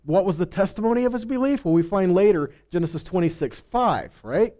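Animated man preaching with a dark tone. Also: high-pitched, a little fast. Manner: animated, gesturing while preaching, reads scripture aloud. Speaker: pastor. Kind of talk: sermon teaching. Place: small stage with purple lights, indoors. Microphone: headset mic.

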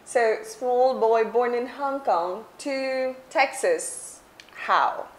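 A woman in her thirties hosting a studio talk show, asking measured questions with frequent restarts on a lapel mic. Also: a little high, a little hiss or hum, rough recording. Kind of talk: studio interview. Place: TV studio set.

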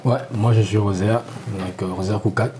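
A young man from the Republic of the Congo, a graduate student, answering in a studio TV interview with measured speech that often repeats and rephrases itself. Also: on the low side, steady tone, noisy background. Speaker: graduate student, committee volunteer. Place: small TV studio. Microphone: lapel.

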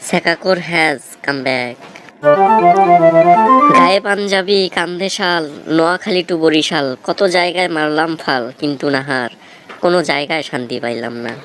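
A man's voice: a little high, a bit bright, close to an everyday voice. A man playing a cocky, theatrical young jilted village lover in a comic cartoon, with a Bangladeshi accent. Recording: noticeable background noise.

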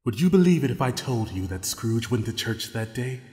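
A man's voice: medium pitch, very animated, brisk, dark tone. A man narrating a classic story in a dramatic, scripted storytelling style. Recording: long hall reverb, music behind. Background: music.

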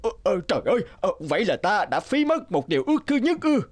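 A man's voice: high voice, big pitch swings, quick pace. A man playing a greedy, flustered rich husband in a children's fairy-tale cartoon, muttering and exclaiming theatrically. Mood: pained, angry.

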